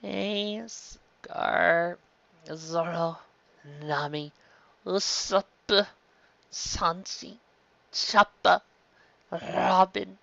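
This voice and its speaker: A woman's voice: fairly low pitch, extremely slow, gravelly, long pauses, fairly bright tone, no echo, lo-fi sound, pitched above the performer's own voice, exaggerated voice. A woman voicing a childlike young male cartoon pirate captain, woozy and giggly, in a slurred drawl. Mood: drowsy.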